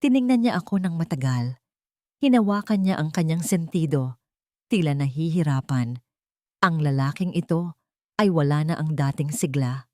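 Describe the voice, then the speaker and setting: Woman doing dramatic story narration, first person, slightly low voice, super dry recording. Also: normal speed, pauses often, a little mellow, no room sound.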